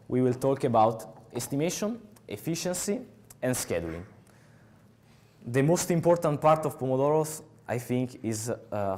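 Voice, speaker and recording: A young man with an Italian accent giving a technical talk at a conference, pausing often with filler sounds, on a headset mic in a large hall. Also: mid-range voice, a little mellow. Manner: halting, many filler uhs. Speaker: conference speaker.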